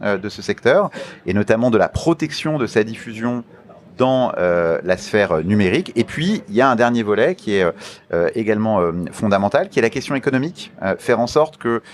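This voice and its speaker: A man with a fairly low voice gives formal, explanatory interview answers. He speaks like an official, in measured phrases broken by hesitant pauses. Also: animated. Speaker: interview guest, media regulation official.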